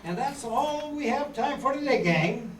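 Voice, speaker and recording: Peppy kids' contest host played by a woman, swinging pitch, old-radio style, echoey. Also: animated, unhurried. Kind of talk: amateur radio drama reenactment. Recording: far, echoey room, faint noise.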